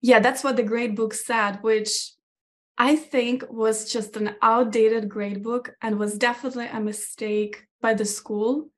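A woman's voice: a little high, steady tone, unhurried. Ukrainian woman recalling her school years. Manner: thoughtful, restarts mid-sentence. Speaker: guest. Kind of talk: conversational interview.

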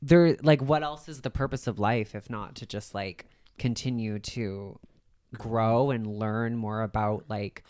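A woman's voice: extremely low pitch, a little slow, a little mellow. A woman guest in a casual interview, talking informally with lots of filler words and the odd laugh.

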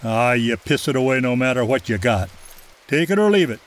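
A man's voice: mid-range voice, expressive, brisk, lightly acted. A man playing a slight old logging camp boss who gives curt, no-nonsense orders in an audio drama. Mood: brusque, commanding. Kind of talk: screenplay audio drama acting.

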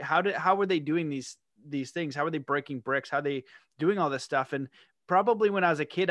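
A man's voice: on the high side, a bit bright, no echo, studio quality. A man from Canada, a guest on an interview podcast, telling his story in a friendly way with frequent "you know"s. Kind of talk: interview conversation.

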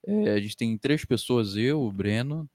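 A man's voice: medium pitch, lively intonation, unhurried, a little mellow, no room sound, clean studio sound. A twenty-year-old Brazilian man hosting a podcast as a casual chat with friends, slangy and foul-mouthed. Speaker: podcast host.

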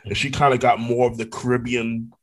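A man's voice: mid-range voice, level tone, a little fast. A man from Brooklyn, New York, hosting a podcast, asking questions in a friendly, rambling, casual way. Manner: friendly, rambling, many restarts. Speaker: podcast host. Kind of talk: casual interview chat.

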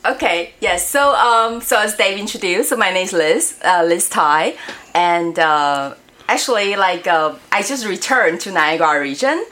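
A woman's voice: fairly low pitch, expressive, a little slow, bright, forceful tone. A woman in her forties, from Taiwan and not an English speaker, talking friendly and hesitantly as an interview guest. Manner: friendly, hesitant, many fillers. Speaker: guest, robotics education director. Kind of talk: casual interview chat.

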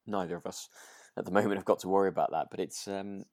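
Male podcast co-host, low register, casual and hesitant delivery, very dry recording. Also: steady tone, fast talker, a little mellow, dry recording. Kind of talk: casual chat reviewing a radio drama.

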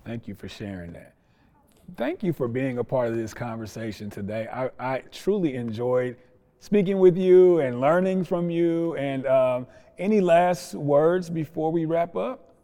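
A man with a soft-edged, mellow voice hosts a podcast interview. His delivery is conversational and measured, appreciative toward his guest, with hesitant pauses as he frames things. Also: a little high.

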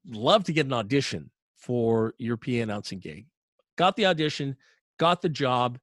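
A man's voice: mid-range voice, dry recording, studio quality. A middle-aged man, an arena announcer telling his career story as an interview guest in an upbeat, chatty way, often repeating words as he restarts. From Canada.

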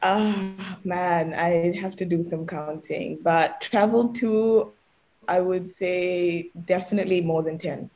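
Relaxed young woman, lowish voice. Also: slow pace. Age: young adult. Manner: relaxed, explanatory, laughs lightly. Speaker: student guest. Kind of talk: casual podcast chat.

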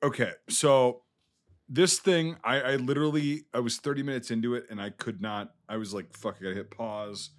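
A man's voice: mid-range voice, fairly even intonation, normal speed. Profane, ranting man reviewing a film. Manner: profane, exasperated, rambling with restarts. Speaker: film podcast co-host.

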